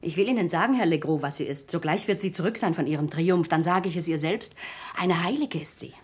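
A woman's voice: on the low side, brisk, husky. A woman playing an admiring, gossipy neighbour in a German radio drama, chattering eagerly and emphatically.

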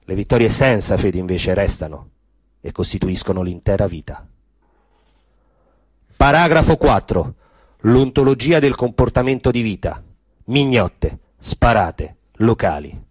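A man's voice: on the low side, animated, measured pace, darkish tone, breathy edge, no echo. A man delivering scripted, theatrical narration of a story.

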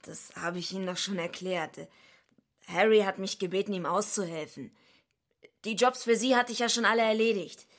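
An actress playing a blunt, defiant, streetwise young female wrestler with a husky, gravelly voice in a radio drama. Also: medium pitch, normal speed, dry recording, studio quality, lightly acted. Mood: defensive. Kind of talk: radio drama acting and first-person narration.

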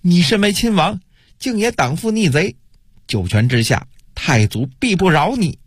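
A man acts out an indignant, loyal minor official in a historical audiobook. The voice is pushed high with a husky edge, swinging widely as he declaims in defiance, ready to die for his cause. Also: sing-song, normal speed, dry recording, clean studio sound, heavily acted. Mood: bitter indignation. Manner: impassioned, declamatory shouting.

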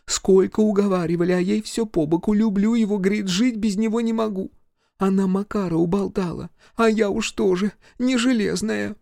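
A man's voice: high-pitched, fairly even intonation, moderate pace, vocal fry, warm tone, no room sound, studio quality, pitched above the performer's own voice. A man voicing a fussy, superstitious middle-aged village mother-in-law who scolds and gossips. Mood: conspiratorial. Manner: scolding, conspiratorial.

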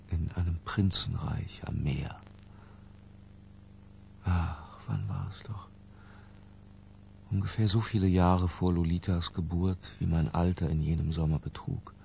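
An ornate, self-mocking murderer giving his confession in a literary radio play. The voice is very deep and creaky, and he moves very slowly through florid phrases with long pauses. A faint hum sits behind him. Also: a little mellow.

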